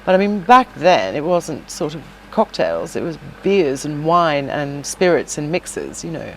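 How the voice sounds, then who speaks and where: Middle-aged woman, low-pitched, chatty guest, loose conversational delivery, faint noise under the voice. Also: very animated, unhurried, poor audio. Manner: chatty and warm, many fillers and restarts. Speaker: podcast guest, shop owner and former singer. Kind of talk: casual interview chat.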